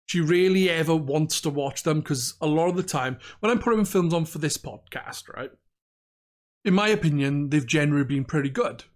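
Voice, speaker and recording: A man's voice: a little high, expressive, fairly quick. A young man with a Welsh accent co-hosting a film podcast, chatting casually, rambling and laughing often. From Wales. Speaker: podcast host. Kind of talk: casual chat.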